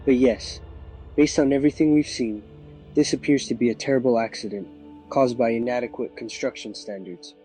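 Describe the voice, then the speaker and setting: An actor playing a composed small-town sheriff, giving measured, official reassurance in a news interview. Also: male voice, mid-range voice, steady tone, dark tone, soft background music, lightly acted, low-quality recording. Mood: measured, reassuring. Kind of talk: news interview in an audio drama.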